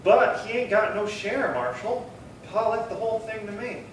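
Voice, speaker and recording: An actor playing an anxious rancher in a western radio play, pleading and defensive in a halting way. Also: male voice, sits high, close to an everyday voice. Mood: frightened, defensive. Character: anxious, pleading rancher, the guilty younger-sounding brother. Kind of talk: old-time western radio acting. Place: live theatre stage performance. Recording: very roomy, distant, noisy stage pickup.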